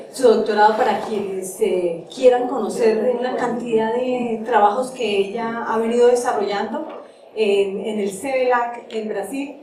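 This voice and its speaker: A woman's voice: mid-range voice, warm tone. A woman who organizes the seminar, introducing the guest speaker formally and hesitantly, with many fillers. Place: university lecture hall. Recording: very echoey hall, distant mic, faint noise.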